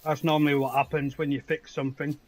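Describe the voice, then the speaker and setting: A man's voice: fairly high pitch, little pitch movement, fast talker. A man chatting casually with a fellow player while gaming, talking in an emphatic, chatty way.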